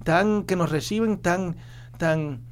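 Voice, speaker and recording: An enthusiastic man from Puerto Rico chatting in a group conversation. His voice sits a little higher than most men's. He repeats phrases and gropes for the right word as he goes. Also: takes it slowly. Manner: enthusiastic, repetitive, searching for words. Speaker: guest sharing travel experience.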